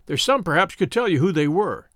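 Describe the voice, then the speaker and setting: A man's voice: high voice, big pitch swings, a little fast, fairly bright tone, no echo, clean studio sound. Man voicing a grave old rustic English shepherd. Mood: insistent. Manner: dialect speech, solemn warnings.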